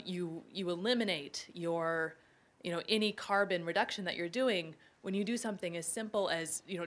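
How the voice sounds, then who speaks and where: A woman speaking as an academic panelist, thinking aloud in a thoughtful, measured way. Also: on the low side, fairly bright tone.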